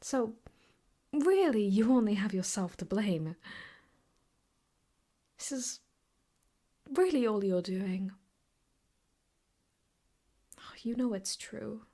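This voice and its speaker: A woman playing an obsessive, possessive, sweetly menacing ex-girlfriend in an improvised ASMR roleplay, teasing and often slipping into breathy whispers. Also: mid-range voice, animated, slow, pauses often, dark tone. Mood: hurt, accusing.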